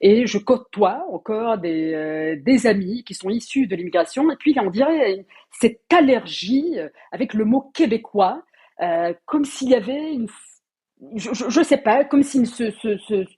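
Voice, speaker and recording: Female current affairs guest, mellow timbre with a husky grain, medium pitch, careful and hesitant delivery. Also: lively intonation, measured pace. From came to Quebec at seven, of Moroccan and Sri Lankan descent. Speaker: radio panelist. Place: radio studio.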